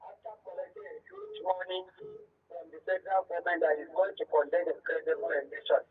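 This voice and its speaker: A man's voice: high-pitched, expressive, fast talker, vocal fry, husky, recorded from a distance. Nigerian man on a tinny phone line. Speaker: radio caller. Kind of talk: giving an opinion on air.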